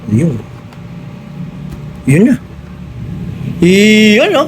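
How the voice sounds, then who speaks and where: A man's voice: sits high, huge pitch swings, extremely slow, a little hiss or hum, rough recording. A Filipino man hosting a casual hands-on tutorial vlog, chatting directly to his viewers. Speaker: vlogger hosting an unboxing and installation.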